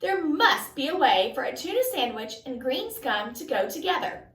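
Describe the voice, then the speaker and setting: A woman's voice: high-pitched, very animated, moderate pace, fairly bright tone. A woman voicing a dramatic, anxious schoolgirl in a storybook, emphatic and given to exclaiming. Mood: pleading. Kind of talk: storybook read-aloud character voice. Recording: echoey room, distant mic.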